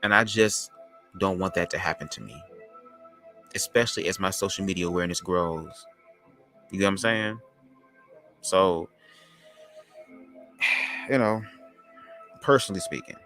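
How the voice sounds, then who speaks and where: A man with a low, raspy voice, hosting a podcast as a loose, slangy spiritual monologue. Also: bright, forceful tone. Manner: loose, slangy, drawn-out talk. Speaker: podcast host.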